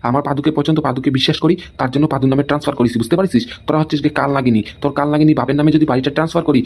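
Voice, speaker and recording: Man voicing a rapid-fire scolding cartoon husband. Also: a little high, fairly even intonation, a little mellow. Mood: indignant, scolding. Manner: scolding, repeats tag questions. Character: indignant, stubborn husband guarding his hard-earned house. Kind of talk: amateur comedy cartoon dialogue.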